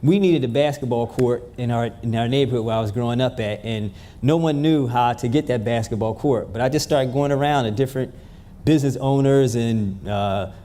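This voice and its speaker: A man in his fifties from New Jersey, a guest telling stories in a casual interview, relaxed and given to repeating words. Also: medium pitch, a little fast, a little hiss or hum. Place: event room with a live audience.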